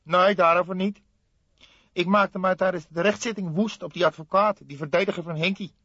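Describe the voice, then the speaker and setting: A man voicing a scrawny, excitable café owner from the underworld in an audiobook, talking emphatic street slang. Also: high-pitched, moderate pace, no echo, pitched above the performer's own voice. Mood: indignant. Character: scrawny indignant small-time underworld café owner, male. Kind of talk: audiobook character acting. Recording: slightly dull, dry studio recording.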